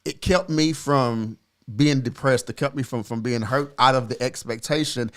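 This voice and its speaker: A man in his forties, a podcast co-host, telling a personal story in a reflective, earnest way with frequent pauses and fillers. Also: mid-range voice, fairly even intonation. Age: 40s.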